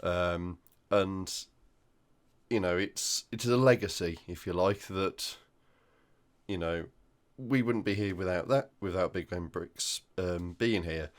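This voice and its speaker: A man with a croaky voice from flu, hosting a hobby news roundup in a casual, hesitant way with many ums. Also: deep voice, slow pace. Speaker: hobby channel host.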